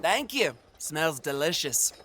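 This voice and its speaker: High-voiced cocky boastful drifter. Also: male voice, very animated, noisy background. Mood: pleased. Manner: boastful, theatrical, drawn-out. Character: cocky, boyish drifter man, boastful and naive. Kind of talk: dramatic audio fiction acting.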